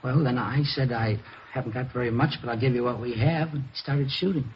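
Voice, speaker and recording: A man's voice: medium pitch, a little fast, close to an everyday voice. Man acting nervous stammering husband, old noisy radio. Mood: nervous, evasive. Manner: hesitant, stammering, repeats himself. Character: nervous husband, murder suspect, evasive and increasingly frantic. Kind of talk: 1950s radio drama acting. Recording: dull noisy vintage radio recording.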